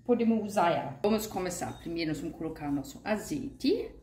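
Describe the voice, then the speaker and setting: A woman's voice: fairly low pitch, animated, quick pace, dark tone. Lady talking thru a recipe at home, homey and chatty, roomy kitchen sound, little bit of background hiss. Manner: chatty, homey, uses diminutives and fillers. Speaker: vegan cooking channel host. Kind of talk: casual step-by-step cooking tutorial.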